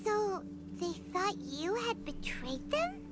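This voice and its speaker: A woman voicing a small, childlike, indignant fairy companion in an anime-style video game, with a squeaky voice. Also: huge pitch swings, slow pace, heavy background noise, heavily acted. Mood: hesitant. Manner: speaks of herself in third person, emphatic. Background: game music.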